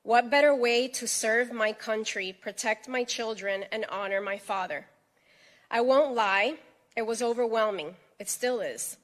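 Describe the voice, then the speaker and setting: A woman's voice: fairly high pitch, unhurried. A middle-aged woman from Miami giving an earnest, emphatic prepared speech at a press event. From United States (Miami).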